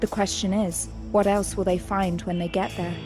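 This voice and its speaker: A woman's voice: medium pitch, level tone, normal speed, music in the background. A woman narrating an audio drama with scripted, dramatic storytelling delivery.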